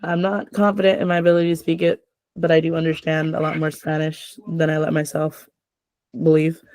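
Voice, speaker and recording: A woman's voice: low-pitched, level tone, fairly quick, a little mellow, dry recording. A young adult woman hosting a casual chat, rambling with lots of filler words.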